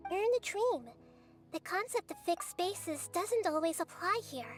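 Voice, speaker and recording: A woman voicing a gentle, wise young girl goddess in a video game, speaking softly and kindly. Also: very high-pitched, lively intonation, measured pace. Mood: explaining. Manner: soft, kindly, encouraging. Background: game music.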